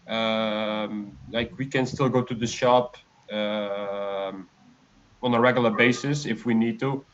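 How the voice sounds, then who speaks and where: A man in his late twenties from the Netherlands, a guest on a video call, talking in a relaxed, hesitant way with many fillers. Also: fairly low pitch, slow pace, a little hiss or hum. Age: 20s. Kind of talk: casual interview chat. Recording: slightly dull lo-fi stream audio.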